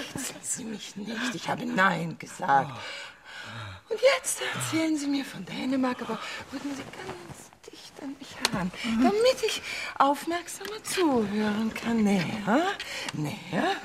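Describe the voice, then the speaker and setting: An actress playing a seductive, commanding queen in a radio play, coaxing and teasing with breathy asides. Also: high voice, background noise, full character voice. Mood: giggling, flirtatious. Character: seductive, capricious, commanding queen, flirting with a visitor. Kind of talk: German radio play acting.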